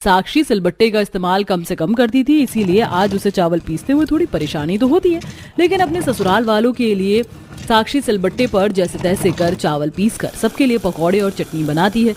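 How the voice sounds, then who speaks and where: A woman's voice: mid-range voice, lively intonation, normal speed, a little mellow, a little hiss or hum. A woman reading scripted narration for a children's cartoon story.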